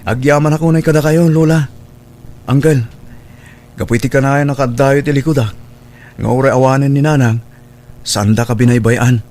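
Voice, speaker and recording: A man's voice: medium pitch, fairly even intonation, moderate pace, pauses often, a little mellow, lightly acted. A man as earnest young Filipino graduate. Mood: grateful, wistful. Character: grateful, sincere young adult Filipino graduate. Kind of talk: Ilocano radio drama acting.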